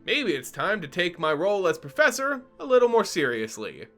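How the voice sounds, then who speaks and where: A man voicing the quiet inner thought of a weary, brooding sorceress professor. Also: sits high, wildly swooping pitch, normal speed, faint music behind, full character voice.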